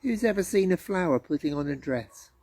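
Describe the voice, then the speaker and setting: Guy doing a kind storybook teacher man, soft husky voice, playful questions, very reassuring. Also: high-pitched, lively intonation, fairly quick, voice pushed up, full character voice. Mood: playful questioning. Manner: rhetorical questioning, tender and reassuring. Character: kindly male teacher, gently playful and reassuring.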